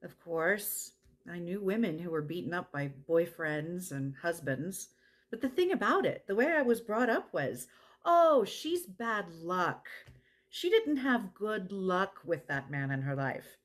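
Actress as a passionate, plain-spoken women's advocate. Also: female voice, on the low side, big pitch swings, a little slow. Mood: reflective, frank. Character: passionate, plain-spoken woman running a domestic violence center. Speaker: actor performing a monologue. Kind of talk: dramatized testimony.

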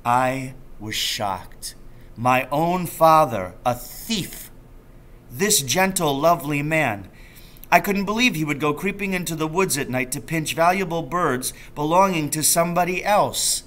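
A man's voice: a little high, expressive, unhurried, a bit bright. A middle-aged man reading a storybook aloud expressively, as a teacher would, at a desk indoors. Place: small office or classroom room indoors.

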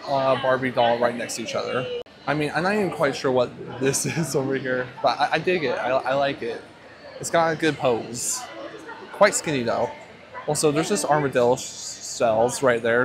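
A man casually vlogging as he walks around an indoor expo, upbeat and easily delighted, with joking asides. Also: mid-range voice. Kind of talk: casual walkthrough vlog. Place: busy indoor expo hall. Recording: crowd chatter behind.

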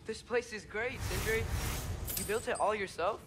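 An actor playing a curious, eager, polite teenage son of a warrior, earnest and questioning. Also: male voice, high voice, normal speed, background noise, close to an everyday voice.

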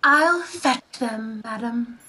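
A woman plays an airy spirit servant in a Shakespearean radio play. The voice is high and unhurried, deferential and gentle toward its mistress. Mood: dutiful, tender. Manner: measured, deferential.

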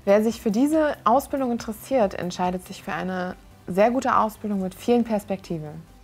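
A woman's voice: medium pitch, moderate pace, husky. Young female trainee, composed and matter-of-fact, faint music behind. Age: 20s. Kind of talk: interview statement.

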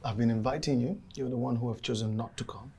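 A young man in love, earnest and easily frustrated. His mid-pitched voice is rough and husky, in the style of screen drama dialogue. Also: steady tone, fast talker, dark tone. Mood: guarded.

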